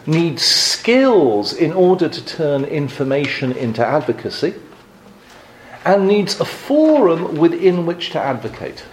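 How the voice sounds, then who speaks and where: A man from England in middle age speaks to a live audience, his pitch rising and falling with lively movement. He keeps a dry, wry humor and often pauses with ums and restarts. A faint room ambience sits behind him. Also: a little hiss or hum, low-quality recording. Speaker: guest speaker on advocacy.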